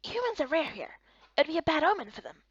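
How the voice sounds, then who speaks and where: A touchy, protective young guy from a fantasy game, played by a woman, talks bluntly in a high, animated voice. The sound is dry and slightly dull. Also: quick pace, no echo. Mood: cautious, firm.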